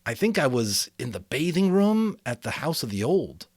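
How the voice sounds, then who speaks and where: A man's voice: mid-range voice, very animated, normal speed, husky, no room sound, pitched above the performer's own voice. A man reads as an earnest young boy in a storybook. He explains himself carefully and with some hesitation, as if reluctant to tell it all. The sound is close and dry. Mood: earnest, recalling.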